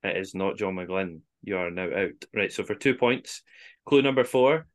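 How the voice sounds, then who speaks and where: A man's voice: sits low, moderate pace. A man with a Scottish accent hosting an informal quiz, reading out clues and scores. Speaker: quiz host on a football podcast.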